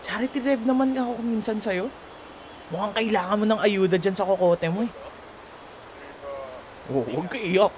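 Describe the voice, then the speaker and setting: A man acting a young Filipino college guy with a fairly high voice that swings freely up and down. He plays the sarcastic school rival in an ASMR roleplay, taunting and bantering casually over a light wash of rain. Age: young adult. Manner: taunting, playful, colloquial banter. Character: teasing, sarcastic male college student, bickering school rival. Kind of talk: ASMR boyfriend roleplay, one-sided dialogue acting. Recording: steady rain noise behind voice. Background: falling rain.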